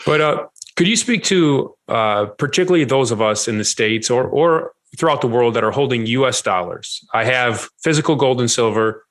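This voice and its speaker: A man's voice: mid-range voice, moderate pace, hardly any pauses. A man hosting an interview show, welcoming his guest and asking questions in a friendly, conversational way. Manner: friendly, conversational, some fillers. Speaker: podcast host.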